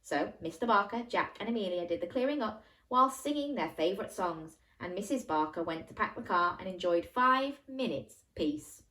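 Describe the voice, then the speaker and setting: A woman storyteller reads for young children with animated delivery and a medium-pitched voice. She slips playful questions and asides to the listener into the narration, keeping it interactive. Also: moderate pace, low-quality recording.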